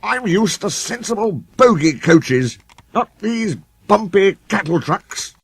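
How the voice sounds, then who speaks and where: Man voicing a haughty, grumbling steam engine with swooping pitch. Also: very animated, slow, breathy edge, dry recording, full character voice. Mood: exasperated. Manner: haughty, sulky, complaining. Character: pompous, grumbling, conceited male steam engine.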